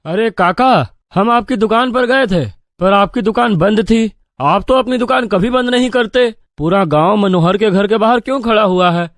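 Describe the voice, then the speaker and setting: A man voicing plain-spoken, excitable young village men in a moral story. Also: sits high, moderate pace, dry recording, clean studio sound. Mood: surprised, curious. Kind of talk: animated moral story dialogue.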